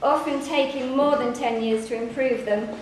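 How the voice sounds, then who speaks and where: A woman's voice: high-pitched, steady tone, normal speed. Woman mc doing a scripted intro at a story night, super echoey, noisy recording. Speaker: event host introducing a reader. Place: theatre venue, indoors. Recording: echoey noisy hall, live event.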